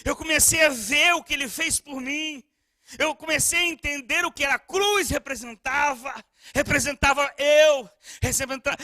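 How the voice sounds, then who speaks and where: A male pastor preaching a sermon with passion, moving between soft storytelling and shouted appeals, often stammering as he restarts phrases. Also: extremely high pitch, dry recording, clean studio sound.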